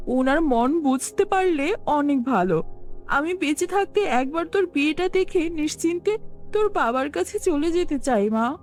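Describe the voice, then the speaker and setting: A woman voicing a poor, gentle, worried village mother in a cartoon fairy tale, earnest and pleading. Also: high-pitched, lively intonation, a little slow, faint music behind. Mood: tender, reassuring.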